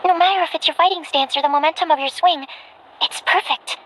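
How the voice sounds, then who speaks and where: A woman's voice: sits high, fast, fairly bright tone, slight background noise, rough recording. A woman playing an earnest, bookish young female alchemist in an anime-style video game, polite and easily flustered. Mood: absorbed. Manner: earnest, formal, hesitant when flustered. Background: music.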